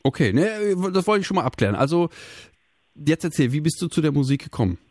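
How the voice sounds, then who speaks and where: A man's voice: on the high side, very animated, fast talker, darkish tone, dry recording, clean studio sound. A male radio host from Bremen chatting with callers, often stumbling and restarting his sentences. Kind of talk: radio call-in chat.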